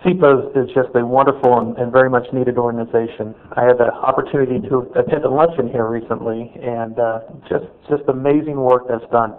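A man chairing a public board meeting, speaking haltingly with repeated words. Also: mid-range voice, steady tone. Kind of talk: formal meeting address. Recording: tinny phone-like meeting audio.

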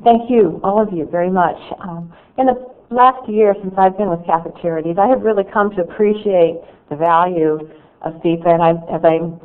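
A woman's voice: on the low side, moderate pace. A woman from a charity giving earnest thank-you remarks at a public meeting, restarting her sentences as she goes. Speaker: charity representative. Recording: tinny phone-like meeting audio.

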